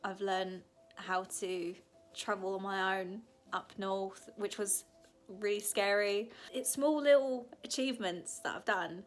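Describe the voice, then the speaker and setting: A woman in her thirties giving a candid, chatty reflective vlog, sometimes breaking into excited laughter. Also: mid-range voice, a little slow. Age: 30s. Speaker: vlogger.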